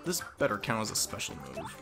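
A man commentating casually on his gameplay, rambling as he goes. His voice swings widely in pitch and has a bright, gravelly edge. Game music plays softly behind him. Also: huge pitch swings, brisk. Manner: mumbly and rambling. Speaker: let's play commentator.

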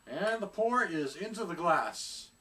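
A middle-aged man reviewing a beer at home in a casual, chatty way, often pausing on fillers as he searches for words. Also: high-pitched, animated, bright, forceful tone, far from the mic. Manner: chatty, hesitant with many fillers. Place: small room at home, seated indoors.

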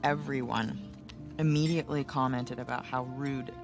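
A teasing, patient American wife in a video game scene. Her voice sits low, casual and gently sardonic. Music is clearly heard behind her. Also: steady tone, normal speed. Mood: earnest. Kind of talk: naturalistic video game cutscene acting, casual banter.

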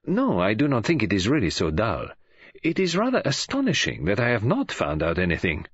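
A prim, fussy older detective, as a male narrator puts him on, precise and measured in every phrase. His voice is a little husky, recorded dry and somewhat dull. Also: on the low side, wildly swooping pitch, no room sound, exaggerated voice.

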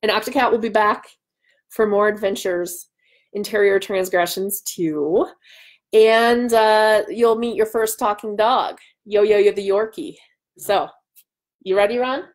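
A woman's voice: mid-range voice, unhurried. A woman in her thirties chatting casually and playfully as she hosts a livestream from home on a webcam. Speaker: author hosting a livestream. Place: small room at home, indoors. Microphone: webcam at arm's length.

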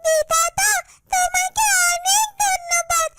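A caring little fairytale bird, with an extremely high, childlike voice. The delivery is slow, sweet and earnest, as in a children's cartoon. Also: female voice, steady tone. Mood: happy, grateful. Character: small, tender, caring talking yellow bird companion. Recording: loud music behind the voice. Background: children's cartoon music.

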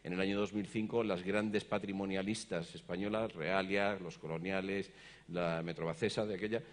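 A man's voice: sits low, fairly even intonation, normal speed. A middle-aged man moderating a conference panel, explaining background in a hesitant way, with many repeated words and fillers. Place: conference stage, seated in an armchair.

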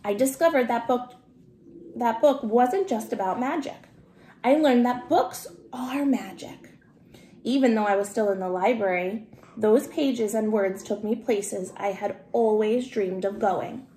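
A woman reads a children's story aloud at an unhurried pace. Her voice sits fairly high, with deliberate emphasis on key words. The home recording is roomy, picked up from a camera at table distance. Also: warm tone, slight background noise. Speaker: storybook reader. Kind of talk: expressive children's read-aloud.

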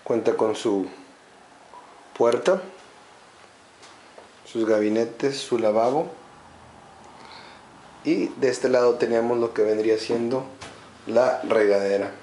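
A man, at an ordinary middle pitch, talks slowly through an empty indoor home and lists each feature in set phrases. His voice is picked up by a handheld camera mic. Faint noise sits behind it. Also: warm tone. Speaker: home sales guide. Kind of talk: walkthrough narration.

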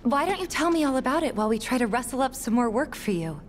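A woman's voice: sits high, lively intonation, quick pace, a little hiss or hum. An actress playing a kind, upbeat and protective young woman from the slums in a video game, with an American accent and music underneath. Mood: friendly, encouraging. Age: young adult. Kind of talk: video game cutscene acting.

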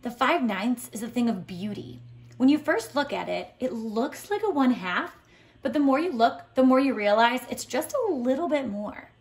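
A woman reading a picture book aloud as a teacher, expressive and deliberate, pausing to set tasks. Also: a little high. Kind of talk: expressive children's read-aloud with lesson prompts. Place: indoors at home, tiled floor.

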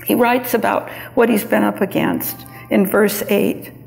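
An older woman, around her seventies, preaching a sermon in a measured, deliberate, reflective manner. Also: medium pitch, steady tone, creaky, darkish tone, faint music behind. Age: older, around 70s. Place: large church sanctuary, indoors, at a lectern. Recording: reverberant church hall.

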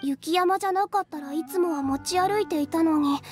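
An earnest young alchemist girl in an anime game. Her voice is very high and girlish, shy and a little flustered, with music playing behind her. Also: brisk. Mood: eager. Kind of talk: Japanese anime game voice acting.

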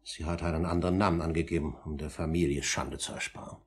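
A grave older gentleman with a low, husky voice. He speaks formally and deliberately, pressing each point with firm emphasis, in the manner of 1950s German radio drama. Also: steady tone. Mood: grave, measured. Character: composed, insistent older gentleman, grave and accusing uncle.

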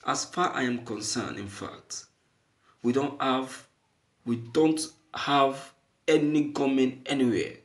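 A man giving opinionated news commentary as an online commentator, halting and deliberate, repeating phrases emphatically for stress. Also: medium pitch, fairly even intonation.

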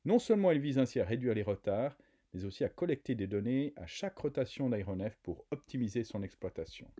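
A man reading a scripted news voice-over in a measured way. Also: medium pitch, lively intonation, mellow, slightly rough. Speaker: narrator.

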